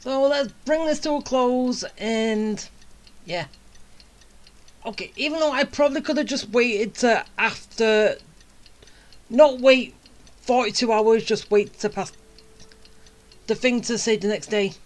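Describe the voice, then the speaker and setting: A woman giving casual gameplay commentary as a streamer, halting and thinking aloud. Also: on the high side. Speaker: game streamer.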